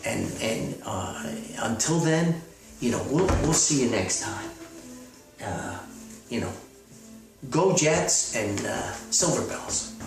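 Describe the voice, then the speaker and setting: A man's voice: mid-range voice, animated, slow pace, long pauses, over music. A man playing a chipper, bumbling, delusional self-styled famous author in a comedy skit, stammering and rambling in a folksy, optimistic way. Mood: hopeful, consoling himself. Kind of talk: improvised-sounding monologue comedy skit. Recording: echoey room, far from the mic.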